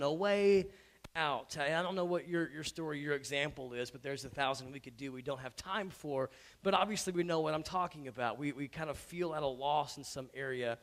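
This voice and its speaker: Hoarse pastor preaching in stages, halting. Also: male voice, fairly high pitch, a bit bright. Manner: conversational, stumbling restarts, rising to emphatic. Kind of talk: sermon. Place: church stage before an audience.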